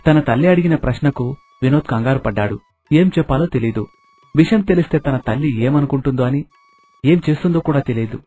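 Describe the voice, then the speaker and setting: Male storyteller, husky mid-pitched voice, scripted narration with background music. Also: expressive, a little fast, warm tone.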